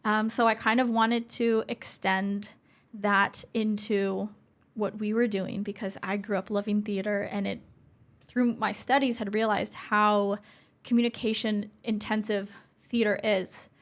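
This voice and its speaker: A woman's voice: medium pitch, flat delivery, a little slow. A young adult woman, speaking as an interview guest and explaining things earnestly, step by step. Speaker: interview guest, communication support specialist.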